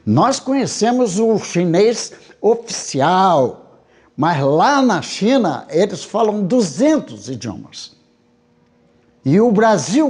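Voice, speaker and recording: A man giving an emphatic documentary interview, asking rhetorical questions. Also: high voice.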